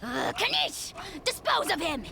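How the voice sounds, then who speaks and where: A woman's voice: high-pitched, very animated, measured pace, vocal fry, noisy background, heavily acted. A woman playing a tiny, arrogant, short-tempered dragon lord in a video game, with a pompous, grandiose delivery. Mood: scornful. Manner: pompous, grandiose, theatrical, refers to self in lofty titles. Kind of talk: video game character acting.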